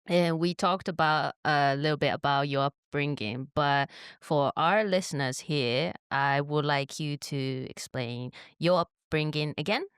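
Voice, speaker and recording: A woman from Japan hosting a podcast, relaxed and giggly as she asks her guest questions. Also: low-pitched, measured pace, hardly any pauses, clean tone, no room sound, clean studio sound. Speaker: podcast host. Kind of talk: casual interview chat.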